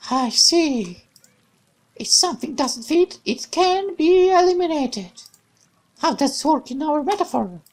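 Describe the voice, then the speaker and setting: A man voicing a young woman soldier from a war game story, gentle on the surface but ruthless underneath, read in a soft, earnest way. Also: very high voice, lively intonation, measured pace, pitched above the performer's own voice, full character voice. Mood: cold. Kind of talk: reading visual novel dialogue aloud.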